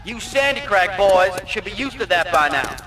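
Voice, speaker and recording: An actor playing a stern, sardonic, gung-ho American Marine commander delivering a rallying military briefing in a video game. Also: male voice, sits high, very animated, normal speed, bright, forceful tone, heavily acted. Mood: stern, rousing.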